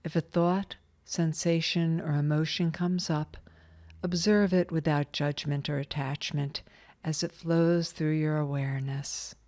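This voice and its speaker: A woman leading a scripted guided meditation in a calm, soothing, measured way with long pauses. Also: low voice.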